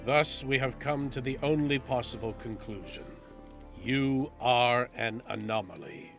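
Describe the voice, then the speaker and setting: A man's voice: medium pitch, measured pace, creaky, soft background music. A man playing a grave, formal councilor of another realm in an audio drama, solemn and matter-of-fact. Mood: cold verdict.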